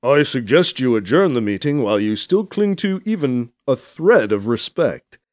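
A man voicing a dry, deadpan male adviser with a hint of a smirk, in an audiobook. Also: mid-range voice, normal speed, no room sound, natural voice.

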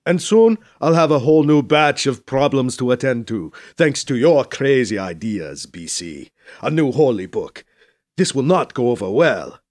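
Guy doing a dignified church leader, formal n measured, gently scolding, varied intonation, audiobook. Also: medium pitch, sing-song, natural voice. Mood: gracious, chiding.

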